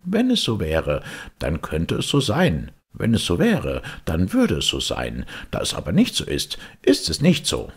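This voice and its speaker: A man's voice: low voice, wildly swooping pitch, unhurried, husky, voice pushed up, heavily acted. A man voicing a fat little twin, smugly logical and pedantic, in a storybook reading.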